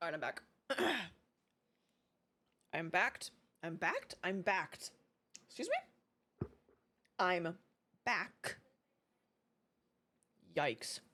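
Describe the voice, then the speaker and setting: Casting: woman, English not her first language, low voice with a very lively swing, chatty streamer who curses and laughs easily. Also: extremely slow, long pauses. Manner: chatty, swears freely, laughs often. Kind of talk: casual chat with stream viewers.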